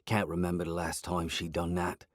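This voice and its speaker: A man's voice: low voice, completely flat delivery, moderate pace, no echo, clean studio sound. A man voicing a scruffy middle-aged male drifter with a coarse English accent and an offhand, casual manner.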